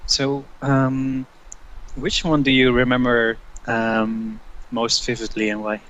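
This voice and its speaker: A man's voice: medium pitch, monotone, slow, a bit bright, muffled recording. Dutch man, hesitant casual chat. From Netherlands. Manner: hesitant, many fillers.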